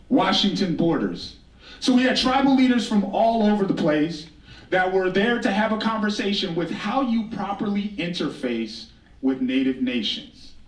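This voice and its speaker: A roomy, echoing recording of an American man giving a live talk, picked up from a distance. His voice sits quite high, and he speaks like an informal storyteller, with wry humour and repeated phrases for emphasis. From United States, Northern Plains. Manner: conversational, rhetorical repetition, wry humour. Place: indoor stage talk in a roomy venue. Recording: roomy echo, distant microphone.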